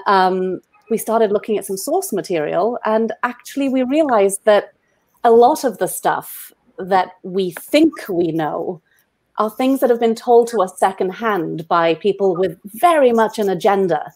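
A Welsh woman in her forties, chatting on a video call from home with earbuds, self-deprecating and quick to laugh. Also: medium pitch, darkish tone. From Wales. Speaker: guest playwright. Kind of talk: casual video-call chat. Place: home room.

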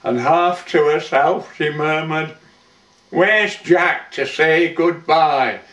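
A man's voice: a little high, a bit bright. A man playing a weathered old lifeboatman, reciting a sorrowful dramatic monologue in folksy, plain-spoken verse. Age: older. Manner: measured, folksy storytelling with rustic dialect. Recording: roomy, dull, distant microphone.

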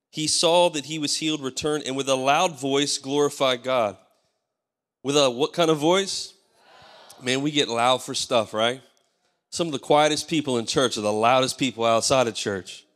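A man in his thirties preaches from a church stage with a hoarse, tired voice, calling out for amens as he goes. His handheld mic sits in a large hall with a long reverb behind it. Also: mid-range voice, a bit bright. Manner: preaching with call-and-response, asking the crowd for amens. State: losing his voice. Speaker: pastor. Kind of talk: sermon.

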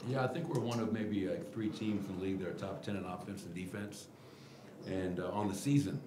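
A middle-aged man, a basketball head coach, answering reporters' questions at a post-game press conference podium with a table mic, candid and animated. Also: low voice, fairly quick, echoey room, background noise. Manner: candid, animated, gestures while explaining. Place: press room at team podium. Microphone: table mic in front of him.

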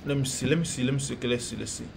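A man's voice: mid-range voice, rapid-fire, creaky, slight background noise. A young man co-hosting a casual vlog chat, plain and matter-of-fact, seated on a couch indoors. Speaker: vlog co-host. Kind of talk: casual chat comparing countries.

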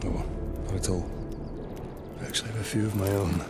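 A man's voice: fairly even intonation, brisk, over loud music, lightly acted. An actor playing a stoic, vengeful male ranger who speaks tersely and with restraint in a game cutscene. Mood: dry. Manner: terse, restrained, low-spoken. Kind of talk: video game cutscene acting. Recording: game audio with loud score.

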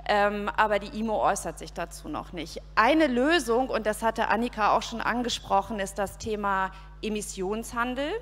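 A woman's voice: medium pitch, animated, normal speed, hardly any pauses. Woman, 40s, panelist, handheld mic, composed, long reverb. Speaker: panel speaker, consultancy partner. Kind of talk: conference talk. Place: outdoor event stage. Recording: reverberant PA sound on a stage.

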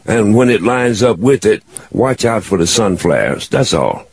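A man in his sixties hosting a call-in radio show, talking in a rambling, halting way with many fillers. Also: on the low side, sing-song, creaky, husky. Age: 60s. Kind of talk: casual call-in chat.

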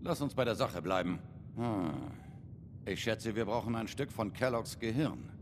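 An actor playing a world-weary, shrewd android private detective in a video game, laconic and wry in a noir manner. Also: male voice, lowish voice, moderate pace, big-room sound, background noise, natural voice. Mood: musing, matter-of-fact.

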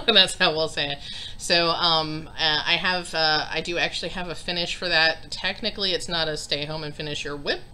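A woman in her thirties talks into a desk webcam in her home craft room, rambling casually with plenty of ums and little laughs. Her voice sits low but has a bright, forward edge, and she sounds a bit congested. A faint hiss of room noise runs under her. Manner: rambling, chatty, frequent ums and self-deprecating laughs. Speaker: cross stitch vlogger. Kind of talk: casual chat to camera.

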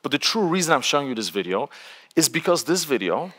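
A man from Poland speaks with a Polish accent, giving a conversational, high-energy workshop talk to a seated audience. His voice is bright and his pitch swings widely. It rings out with the long reverb of a large conference hall. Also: fast talker. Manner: energetic, conversational, frequent "right?" tags.

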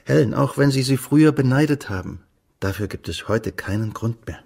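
An actor playing an earnest, concerned male investigator in a German radio crime drama, with a gravelly, husky voice. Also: mid-range voice, lively intonation, brisk, a little mellow. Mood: earnest, insistent.

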